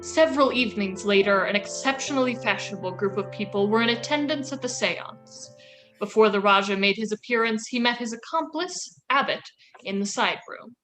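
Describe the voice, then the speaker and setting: Lady narrator for an old radio serial, highish bright voice, scripted, faint music. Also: a little fast, rough recording.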